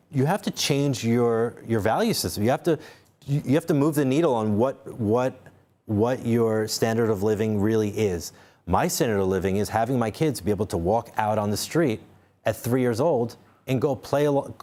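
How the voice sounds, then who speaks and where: A man's voice: medium pitch, a little mellow. A man speaking as a guest in a studio interview, restarting words often and then pressing his points with force. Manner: repeats words while searching for them, then speaks emphatically. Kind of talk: unscripted interview talk.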